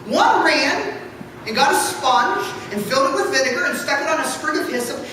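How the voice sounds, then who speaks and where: Distant and ringing in a big, noisy church, a man reads scripture aloud as a pastor. He projects in a high voice, theatrical and deliberate. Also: animated, pushed and bright. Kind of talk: dramatic scripture reading. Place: large church interior. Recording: echoey church hall from far off, noisy.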